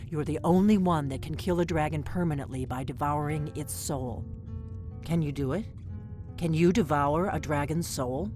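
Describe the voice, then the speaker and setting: A woman's voice: deep voice, normal speed, lightly acted. An actress voicing a guarded, secretive female innkeeper who works as a spy, speaking in a cautious, urgent, conspiratorial way over faint game music.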